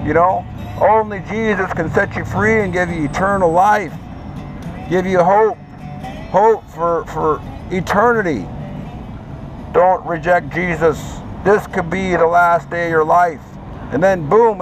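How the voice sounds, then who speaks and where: A man preaching outdoors on a city sidewalk at night, exhorting passersby in a steady, repetitive cadence. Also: high voice, measured pace, over music, poor audio. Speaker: street preacher. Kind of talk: open-air street preaching. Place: city sidewalk at night outside a theme park.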